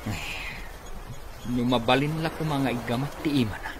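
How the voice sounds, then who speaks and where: A man's voice: medium pitch, moderate pace, background noise, close to an everyday voice. A man playing a greedy, scheming business rival in an Ilocano radio comedy-drama. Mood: gleeful scheming.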